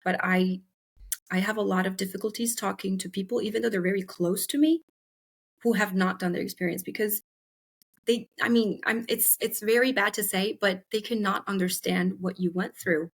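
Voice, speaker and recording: A young woman from Italy, a podcast guest, reflecting thoughtfully with pauses and fillers. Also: medium pitch, slightly rough. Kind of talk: casual interview.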